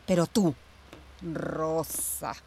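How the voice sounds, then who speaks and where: A woman's voice: low-pitched, fairly even intonation, drawn-out words, gravelly, warm tone, breathy edge, full character voice. A woman playing an older, imperious, paranoid ex police commander in a radio play, cold and sarcastic, with a Chilean accent. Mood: scornful. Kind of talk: Spanish-language radio theatre acting.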